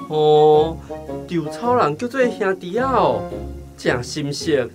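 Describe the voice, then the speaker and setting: An actor playing a jovial, persuasive visitor from the city in a radio drama, with a Taiwanese accent. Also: male voice, on the high side, big pitch swings, slow, over music. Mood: amused, explaining.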